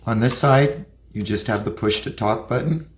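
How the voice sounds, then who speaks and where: A man's voice: medium pitch, moderate pace. Methodical man reviewing gadgets, faint noise. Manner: plain, methodical step-by-step explaining. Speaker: product reviewer. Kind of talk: hands-on gadget review narration.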